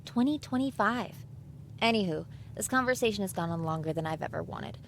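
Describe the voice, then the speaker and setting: Woman acting a sarcastic teenage girl, rumbly noisy recording. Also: animated, fairly quick, natural voice. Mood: dismissive. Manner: sarcastic, dismissive. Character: sarcastic, sceptical modern teenage girl artist, seventeen. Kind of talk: audio drama acting.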